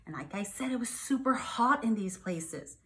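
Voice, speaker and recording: A woman's voice: mid-range voice, animated, moderate pace, pushed and bright. An American woman, a first grade teacher, reads aloud to young children and explains things simply, checking in with questions.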